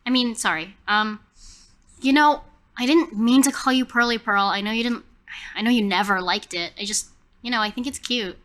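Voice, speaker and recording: A woman acts out an affectionate, earnest female camp character in an improvised game. She sounds awkward and sincere, in a bright voice. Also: a little high, moderate pace, close to an everyday voice. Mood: awkward, apologetic.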